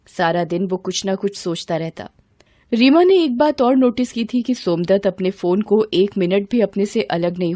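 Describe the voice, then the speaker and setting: A woman's voice: medium pitch, mellow, no room sound, clean studio sound. A woman reading a scripted story as narrator, acting out its dialogue.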